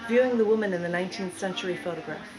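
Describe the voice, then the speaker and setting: A woman giving a live spoken-word poetry reading in a bookstore, phrasing dramatically and deliberately with drawn-out emphasis. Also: on the low side, low-quality recording. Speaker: poet reading own work.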